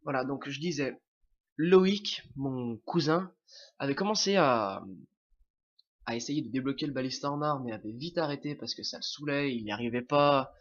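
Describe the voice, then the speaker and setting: A man's voice: mid-range voice, normal speed, lo-fi sound. A young man with a French accent, who has a cold, commenting casually on his own gameplay, hesitant and full of fillers and restarts.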